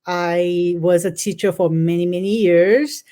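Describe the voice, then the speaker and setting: A woman from Haiti with a mellow, warm voice speaks as an author guest in an interview. She is middle-aged and answers in an earnest, reflective way, often pausing with ums and restarts. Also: lowish voice, steady tone.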